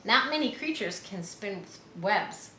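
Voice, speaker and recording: A woman's voice: medium pitch, expressive, takes it slowly, pushed and bright. A woman voicing a wise, patient female spider from a storybook, frank and kindly instructive. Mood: frank, consoling. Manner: instructive, kindly matter-of-fact. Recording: roomy echo, faint background noise.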